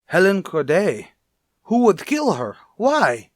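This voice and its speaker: A man's voice: high-pitched, huge pitch swings, slow pace, no echo, exaggerated voice. A man playing a mournful, emphatic restaurant boss answering police questions in a radio crime drama, plaintive and repetitive. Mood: distressed. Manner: emphatic, plaintive, repeats himself. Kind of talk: old-time radio crime drama acting.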